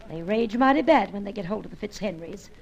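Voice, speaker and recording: A woman's voice: medium pitch, expressive, fast, darkish tone, highs cut off, a little hiss or hum, lightly acted. A woman playing a brassy, stubborn bird painter in a pulp radio serial, scolding in sassy old-time slang. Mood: scornful, stormy.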